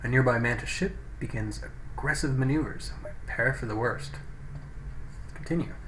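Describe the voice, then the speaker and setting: Male gaming commentator, mid-pitched, casual and a bit hesitant, talking through his moves over a noisy cheap mic. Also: normal speed, a bit bright. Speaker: gameplay video host. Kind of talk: casual game commentary, reading in-game text aloud. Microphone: low-quality microphone. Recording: poor noisy microphone.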